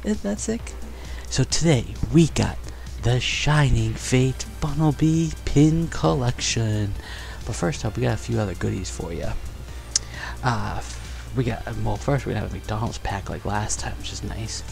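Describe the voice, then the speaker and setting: A young man chatting casually and excitedly while opening trading cards in a bedroom, on a headset mic. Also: medium pitch, measured pace, gravelly, faint music behind. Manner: upbeat, chatty, excited exclamations. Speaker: card collecting vlogger. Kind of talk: casual unboxing chat. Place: bedroom studio, indoors.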